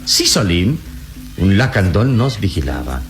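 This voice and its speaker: Radio serial hero: deep, expressive, wise and fearless, measured and fatherly, music underneath. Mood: calm, explanatory. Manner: measured, reassuring, explanatory, paternal. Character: calm, wise, fearless adult male adventurer hero. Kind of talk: Latin American radio serial acting. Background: dramatic background music.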